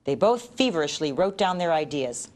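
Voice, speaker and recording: A woman narrating a children's story as an expressive read-aloud. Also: deep voice, normal speed. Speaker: storybook reader.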